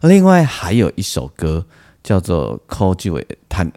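A man's voice: sits low, wildly swooping pitch, measured pace, mellow. A man with a Taiwanese Mandarin accent hosting a casual solo music show, talking in a rambling way with frequent particles and asides. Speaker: music podcast host.

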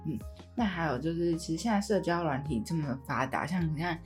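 Low-voiced young Taiwanese Mandarin woman. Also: fairly quick. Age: early 20s. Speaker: podcast co-host. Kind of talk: casual conversational podcast chat. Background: background music with guitar.

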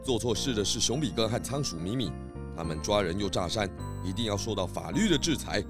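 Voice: low voice, expressive, fairly quick, loud music behind the voice. An actor playing a kindly, food-loving pig detective in a children's audio drama, exclamatory and encouraging. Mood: earnest.

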